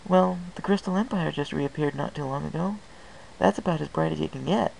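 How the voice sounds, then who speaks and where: Storybook young pony mare played by a man: raised pitch, gentle, hesitant ticket seller, hissy home recording. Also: a little fast, creaky, rough recording. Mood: helpful.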